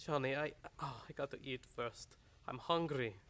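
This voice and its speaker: A man's voice: mid-range voice, normal speed, fairly bright tone, lightly acted. A man playing a nervous, pleading crooked doctor and criminal sidekick in a radio play, in simple broken phrases. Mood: anxious pleading. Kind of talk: old-time radio play acting.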